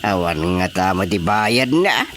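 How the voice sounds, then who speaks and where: Animated, opinionated Ilocano village man. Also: sits low, a little slow, slight background noise, full character voice. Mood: surprised, sympathetic. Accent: Ilocano Filipino. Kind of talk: Ilocano radio comedy-drama acting.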